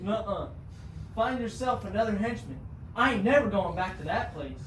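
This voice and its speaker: A woman's voice: mid-range voice, expressive, moderate pace, close to an everyday voice. A teenage girl playing a cowardly, stammering, gullible robber henchman with folksy talk, in a student reader's theater performance. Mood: terrified, refusing. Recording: distant, echoey, noisy room.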